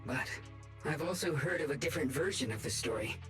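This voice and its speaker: Gentle male bamboo-being storyteller voiced by a man, calm and measured, game cutscene with music behind. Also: a little high, close to an everyday voice. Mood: somber. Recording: game audio with music under it.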